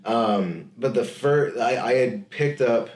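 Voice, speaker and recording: A man co-hosting a podcast in casual chat, rambling and slipping into quick silly voices when quoting. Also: mid-range voice, a little mellow, reverberant. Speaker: podcast co-host.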